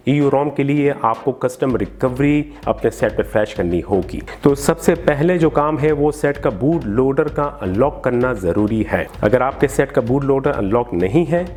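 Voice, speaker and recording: A man's voice: medium pitch, a little mellow. A man hosting an instructional phone tutorial, explaining steps to viewers in a polite, formal way. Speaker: tech tutorial host. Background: background music.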